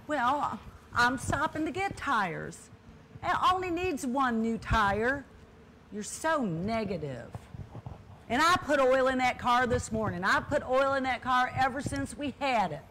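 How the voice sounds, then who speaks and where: A woman's voice: high-pitched, expressive, unhurried, a bit bright, a little hiss or hum. A woman playing a stubborn, huffy older spinster sister in a comedic stage play, speaking with emphatic repetition. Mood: indignant, determined.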